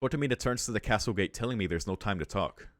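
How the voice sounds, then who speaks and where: A man's voice: lowish voice, expressive, fast, fairly bright tone, no echo, studio quality. A man reading a visual novel aloud as a streamer, with amused, slangy and sweary casual asides. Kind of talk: casual read-aloud with commentary. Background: faint game music.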